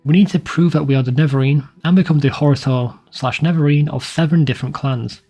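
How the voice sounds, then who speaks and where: Man, game walkthrough narrator, soft dark tone, slightly rough voice, plain instructional delivery, informal. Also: a little high, brisk, faint music behind. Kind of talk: scripted guide narration.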